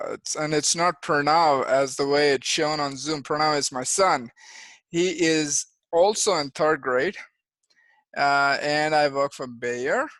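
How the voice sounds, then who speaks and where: A man in his thirties from India, an engineer giving a career-day talk to children over a video call, explaining things simply with frequent pauses and restarts. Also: fairly high pitch, fairly bright tone, no echo. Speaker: guest engineer and project manager. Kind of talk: presentation for young children.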